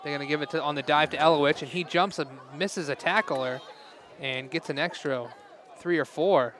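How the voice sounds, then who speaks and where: A man calling a high school football game play by play outdoors, chatty and quick to laugh, with his voice going hoarse. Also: fairly bright tone. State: losing his voice. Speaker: play-by-play announcer. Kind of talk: live football play-by-play commentary. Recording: crowd noise in background. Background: crowd noise.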